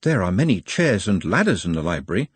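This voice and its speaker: A man's voice: deep voice, very animated, fairly quick, husky, no echo, exaggerated voice. A man voicing a polite, patient young man who speaks formally and precisely. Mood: faint.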